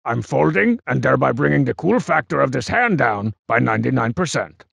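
A man voicing a cocky, scheming cartoon masked wrestler for a comedic video game, with a gravelly, strained rasp and a bragging, sarcastic, mock-cool manner. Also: fairly high pitch, a bit bright, dry recording, exaggerated voice.

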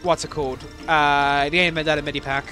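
A young man with a bright, fairly high voice rambles through commentary on levels he built. He stumbles, restarts and sells his own work short. Faint game music plays under his voice. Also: flat delivery, fast. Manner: rambling, self-deprecating, many fillers and restarts. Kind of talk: casual gameplay commentary.

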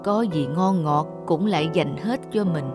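A woman's voice: low-pitched, a little slow. A woman voicing a frail, tender old grandfather in a children's cartoon, speaking in an earnest, doting way. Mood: sorrowful. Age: older. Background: music.

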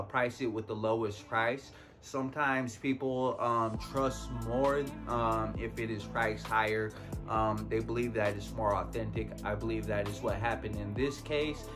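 A man in his thirties hosting a casual product rundown to camera, seated in a living room. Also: medium pitch. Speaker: video host, online reseller.

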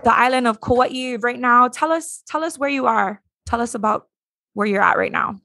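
A woman's voice: fairly high pitch, normal speed, vocal fry, bright, forceful tone, no echo, studio quality. A young woman hosting a casual podcast interview over a video call, upbeat and enthusiastic. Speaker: podcast host.

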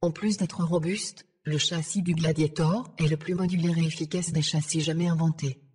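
Low, husky synthetic female assistant voice. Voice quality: synthetic text-to-speech voice. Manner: measured, formal, deadpan synthetic delivery. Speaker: AI ship assistant. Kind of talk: scripted informational narration.

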